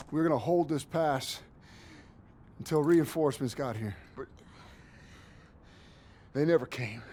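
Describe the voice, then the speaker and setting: Casting: man to play embittered WWII sergeant, American, fairly high male register, gruff command, game cutscene drama, noisy backdrop. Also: a little mellow, natural voice. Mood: bitter, defeated.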